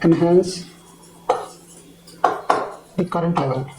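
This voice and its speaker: High-pitched male lecturer, halting and mellow. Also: slight background noise, rough recording. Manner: halting, repetitive delivery. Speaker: teacher. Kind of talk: explanatory technical lecture.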